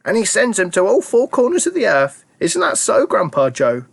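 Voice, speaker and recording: A man's voice: sits high, wildly swooping pitch, normal speed, vocal fry, breathy edge, pitched above the performer's own voice, heavily acted. A man voicing an eager, chirpy elderly grandmother who chimes in. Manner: eager, chiming in.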